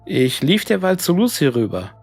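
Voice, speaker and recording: A man's voice: on the high side, sing-song, normal speed, slightly rough. A man reading a scripted horror story made up of written messages. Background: music.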